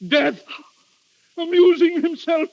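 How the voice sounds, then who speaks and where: A man's voice: very high voice, steady tone, takes it slowly, creaky, no echo, full character voice. An actor playing a desperate but dignified duke guarding his family, grave and formal, in an old radio drama with dull, dry sound. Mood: dread.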